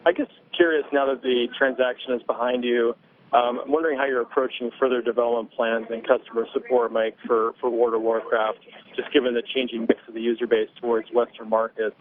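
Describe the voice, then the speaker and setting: Flat-voiced man on a thin phone line. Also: medium pitch, a little fast. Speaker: financial analyst asking questions. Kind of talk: conversational business question on a conference call. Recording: thin noisy telephone line.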